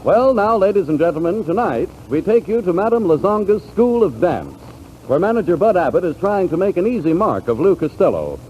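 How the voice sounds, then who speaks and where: High-voiced 1940s American radio announcer, a man. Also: big pitch swings, brisk. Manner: showy, formal introduction. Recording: muffled old radio recording with loud music. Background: loud music.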